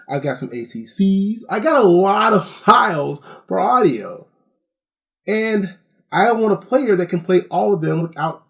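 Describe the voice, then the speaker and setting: A middle-aged man hosting a casual gadget review, talking to viewers in a chatty way with wry asides. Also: high-pitched.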